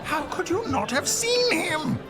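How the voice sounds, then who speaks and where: A man playing an excitable, eccentric knight in an audio drama, blustering and indignant in a theatrical way. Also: extremely high pitch, measured pace, over music, heavily acted. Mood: insistent, indignant. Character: excitable, eccentric knight obsessed with hunting a fantastical beast.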